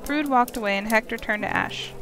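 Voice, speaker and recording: High-voiced woman narrating fantasy. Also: a little fast, fairly bright tone, slight background noise. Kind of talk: scripted fantasy story narration. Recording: voice under prominent music.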